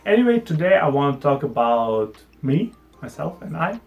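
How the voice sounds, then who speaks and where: A man's voice: on the high side, very animated, moderate pace, vocal fry, faint music behind. A young man, a native Ukrainian speaker with an accent, chatting casually to camera in his vlog with a self-deprecating, friendly manner. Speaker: vlogger. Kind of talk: casual talking-head vlog.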